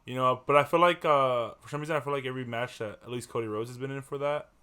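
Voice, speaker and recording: A man's voice: medium pitch, steady tone, quick pace, pushed and bright, smooth. A young man co-hosting a casual podcast, talking in a slangy, laid-back way and often trailing off mid-thought. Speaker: podcast co-host. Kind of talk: casual chat.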